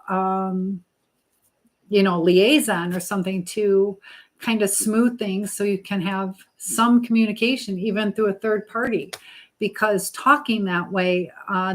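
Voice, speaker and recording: A woman hosting a live chat, speaking earnestly and haltingly with pauses as she gives advice and encouragement to viewers. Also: medium pitch, rough recording. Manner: religious encouragement. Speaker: live stream host.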